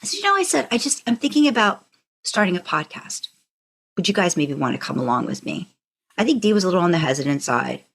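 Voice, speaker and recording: Female podcast co-host, lowish pitch, conversational storyteller, enthusiastic and discursive. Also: sing-song, fast, gravelly, husky.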